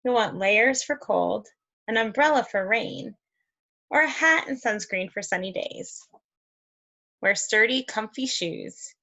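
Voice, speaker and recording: Woman, children's read-aloud host. Higher pitch with noticeable vocal fry, expressive, encouraging, chatting between pages. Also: a little slow. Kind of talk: children's picture book read-aloud with asides.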